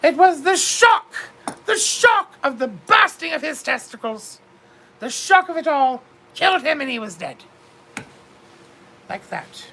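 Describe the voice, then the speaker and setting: A woman's voice: sits high, sing-song, measured pace, pauses often, bright, forceful tone. A woman telling a comic story in a theatrical, grandly confiding way, calling her listeners darlings.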